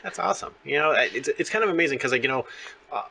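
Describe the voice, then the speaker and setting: A man's voice: mid-range voice, expressive, very fast, gravelly, sharp tone, a little hiss or hum. A man in his thirties hosting a casual interview in a chatty, rambling way. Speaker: interview host.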